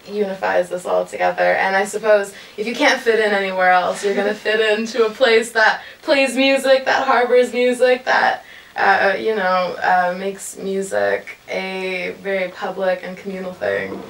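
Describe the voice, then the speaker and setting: A woman in her twenties giving conversational interview answers with articulate, deliberate phrasing. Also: mid-range voice, gravelly, a bit bright. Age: 20s. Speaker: college radio staffer, interview guest. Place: indoor lounge with amps and instruments. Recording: roomy, slightly distant mic.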